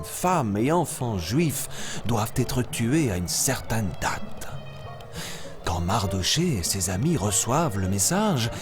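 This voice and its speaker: A kindly animal storyteller for a children's cartoon. The mid-pitched voice swings expressively through the narration in a teacherly way, with music clearly behind it. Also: male voice.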